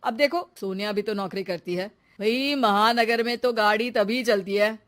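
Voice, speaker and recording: A woman's voice: mid-range voice, normal speed, fairly bright tone, pitched below the performer's own voice, natural voice. A woman voicing an easygoing young office-working husband in a cartoon story, with a casual, chummy way of talking. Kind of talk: animated cartoon story dialogue.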